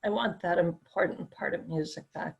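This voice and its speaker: Woman, client, crackly gravelly voice, husky, repeats phrases back. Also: lowish voice, normal speed, lo-fi sound. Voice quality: crackly, raspy voice.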